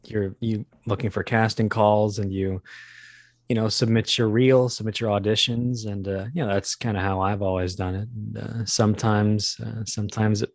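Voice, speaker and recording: Man, lowish voice, halting and wry, casual interview guest, dry close sound. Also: level tone, no room sound. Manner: hesitant, halting, wry and joking.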